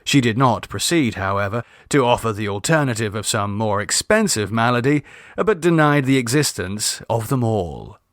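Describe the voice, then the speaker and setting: A man's voice: mid-range voice, lively intonation, normal speed, dry recording, studio quality. A man narrating a comic literary short story as an audiobook reader.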